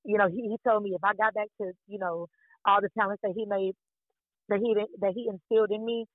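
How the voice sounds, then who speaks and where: A woman from Fort Worth, Texas, with a deep, raspy voice, talking excitedly as a singer being interviewed, rambling and repeating words. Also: brisk, no room sound. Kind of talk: casual interview chat. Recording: dry, tinny phone-like line.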